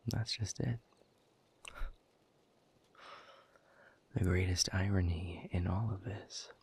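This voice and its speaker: A man playing a weary, bitter exiled demon in an audio drama, speaking in a gravelly, breathy half-whisper with a slow, sardonic delivery. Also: low-pitched, a little mellow, a little hiss or hum, full character voice. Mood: bitter resignation. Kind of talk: dramatic audio roleplay monologue to the listener.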